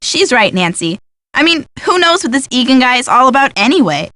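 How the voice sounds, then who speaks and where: A woman's voice: sits high, very animated, a little fast, sharp tone, no room sound, exaggerated voice. A woman playing a wry, practical, teasing young female friend in scripted video game dialogue. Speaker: game voice actor.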